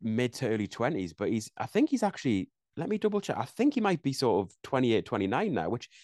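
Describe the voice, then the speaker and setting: A young English man co-hosting a casual football podcast, talking quickly and thinking aloud with frequent restarts. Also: medium pitch, very animated, darkish tone, dry recording, clean studio sound. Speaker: podcast co-host.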